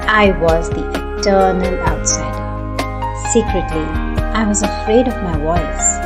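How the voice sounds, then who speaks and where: A woman narrating a written first-person story in a measured, expressive way. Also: lowish voice. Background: music.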